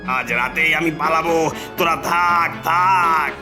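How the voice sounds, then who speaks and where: A man's voice: fairly high pitch, slow, music in the background. A man voicing a cowardly, alarmed village headman in a horror cartoon, repeating his phrases. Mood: panicked. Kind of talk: cartoon horror story acting.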